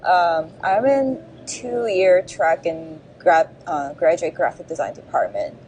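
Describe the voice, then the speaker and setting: Soft-voiced Korean-accented woman. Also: on the low side, expressive, slow, a little hiss or hum, poor audio. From South Korea. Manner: thoughtful, hesitant, many fillers. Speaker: graduating design student. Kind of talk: interview answers.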